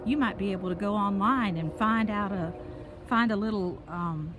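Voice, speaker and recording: A woman's voice: mid-range voice, normal speed. A woman reads a picture book aloud to children while walking outside in a park, adding chatty explanations and questions for her young listeners. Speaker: picture book reader and host. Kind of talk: reading a children's story aloud with chatty asides. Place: outdoors, walking along a park path. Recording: noisy outdoor ambience while walking.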